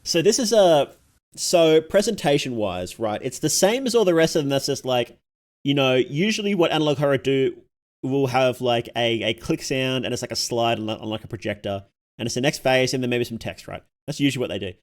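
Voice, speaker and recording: A man with a very lively, mid-pitched voice gives casual commentary as a livestream host. He rambles informally and uses plenty of slang, his intonation moving freely up and down. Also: brisk. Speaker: livestream host reacting to horror videos.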